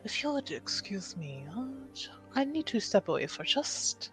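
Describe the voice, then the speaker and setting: A woman's voice: mid-range voice, very animated, normal speed, fairly bright tone, slightly rough, soft background music, heavily acted. A woman voicing a poised, diplomatic noble guest with polite, formal phrasing in a tabletop roleplaying game. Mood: polite, composed.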